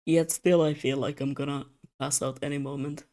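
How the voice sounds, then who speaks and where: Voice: mid-range voice, little pitch movement, moderate pace, warm tone. Tired young streamer. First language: Russian. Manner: rambling, self-mocking, laughs often. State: tired, slightly slurred speech. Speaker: streamer drawing commissions. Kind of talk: casual live-stream chat.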